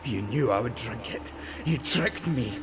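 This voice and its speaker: A man's voice: medium pitch, lively intonation, a little slow, background noise, lightly acted. An actor playing a bitter, proud king in an audio drama, brooding and intense, with music behind him. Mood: enraged. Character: bitter, proud young king hungry for godlike power.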